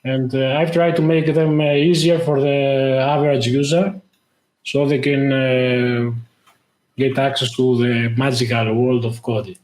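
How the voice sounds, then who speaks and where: Man, halting, in a roomy echo. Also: mid-range voice, little pitch movement, a little mellow. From Greece. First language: Greek. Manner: halting, lots of "uh" fillers. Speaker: guest, tech YouTuber. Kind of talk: casual interview talk.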